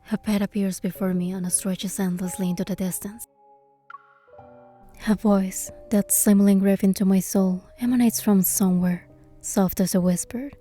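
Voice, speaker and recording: Give the game a woman acting a bold, curious young heroine who tells her own fantasy tale. Her voice sits at a middle pitch with a soft, mellow tone. The delivery is expressive and scripted, over faint music. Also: normal speed, lightly acted. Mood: narrating, hushed wonder. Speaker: fan dub voice actor and narrator. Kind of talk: scripted first-person fantasy game narration and dialogue.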